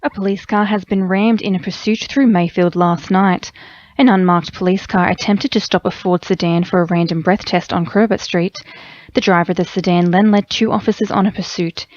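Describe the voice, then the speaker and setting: A woman's voice: medium pitch, steady tone, normal speed, darkish tone, no room sound. A woman with an Australian accent reading a scripted radio news bulletin. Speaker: radio newsreader. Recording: slightly dull radio audio.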